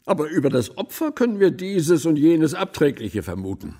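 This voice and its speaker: An actor playing an impatient police president in a radio drama, sarcastic and blustering, firing pointed questions. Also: male voice, on the high side, big pitch swings, moderate pace, warm tone, husky.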